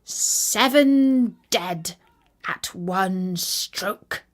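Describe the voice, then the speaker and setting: A woman's voice: mid-range voice, very slow. Woman acting a plucky, boastful little tailor.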